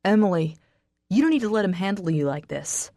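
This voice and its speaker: A woman's voice: medium pitch, expressive, a little fast, warm tone, no room sound, studio quality, lightly acted. A woman reading an audiobook, voicing a young man who raises his voice in protest. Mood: angry. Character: young man, gentle suitor turned defiant.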